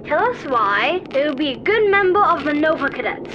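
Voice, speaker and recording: Woman as an eager, very high-pitched kid space cadet. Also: sing-song, moderate pace, lo-fi sound, background noise. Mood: earnest, eager. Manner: earnest, polite. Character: eager young boy space cadet from the future. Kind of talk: retro radio serial acting. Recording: music behind the voice.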